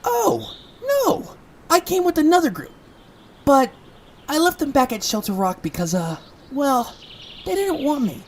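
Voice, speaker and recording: Squeaky, swooping, whiny little kid. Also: female voice, very animated, measured pace, heavily acted. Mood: whiny, sheepish. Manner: whiny, exclamatory. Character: small, whiny, childlike tagalong adventurer. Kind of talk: animated audio drama acting.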